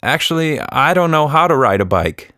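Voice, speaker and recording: A man's voice: a little high, sing-song, normal speed, bright, forceful tone, no room sound, studio quality, pitched above the performer's own voice. A man voicing a young girl, eager but argumentative and a bit reluctant, in a children's story. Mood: sheepish, protesting.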